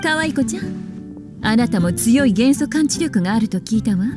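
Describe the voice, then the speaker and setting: An actress plays a young witch librarian for an anime video game. She is poised and languid, teasing with a soft menace, in a fairly high feminine voice over clear background music. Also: lively intonation, brisk, lightly acted. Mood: teasing, assured.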